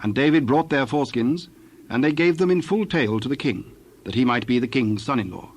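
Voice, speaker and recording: A man narrating a dramatized Bible reading in a measured, formal storytelling style. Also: medium pitch, lively intonation.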